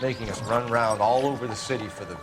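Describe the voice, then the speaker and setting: A man playing an earnest, self-assured 1940s American detective in a video game, speaking crisply with dry sarcasm. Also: mid-range voice, steady tone, fast talker, close to an everyday voice. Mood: thoughtful. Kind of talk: cinematic video game acting. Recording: loud music behind the dialogue.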